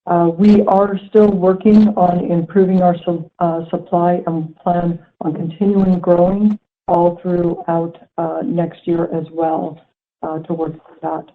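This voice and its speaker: A woman, a finance executive, answering on an earnings call in a measured way, with fillers between phrases. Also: lowish voice, level tone. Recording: phone-line audio.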